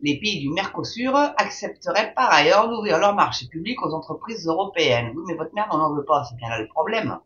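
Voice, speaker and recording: A woman's voice: lowish voice, expressive, a little fast, hardly any pauses, fairly bright tone. Woman host, reads news aloud with sneering hesitant asides; muffled lo-fi sound, faint hiss. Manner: mocking, informal, many hesitations. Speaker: video host. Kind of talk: reading a news article aloud with sarcastic asides. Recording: dull lo-fi with faint noise.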